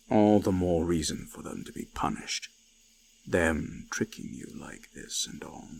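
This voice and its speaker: A man playing an ancient eldritch forest god, menacing yet seductive, speaking in slow archaic phrases with hushed, breathy whispers. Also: deep voice, a little hiss or hum, full character voice. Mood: menacing, condescending. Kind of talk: scripted roleplay audio, slow dramatic monologue.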